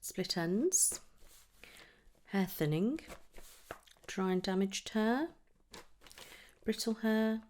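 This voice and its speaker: A soft-spoken woman in an ASMR medical roleplay, gently asking questions in a breathy voice that often slips towards a whisper. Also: medium pitch, animated, a little hiss or hum. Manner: gentle, unhurried questioning with pauses for answers. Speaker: ASMR performer playing a trichologist.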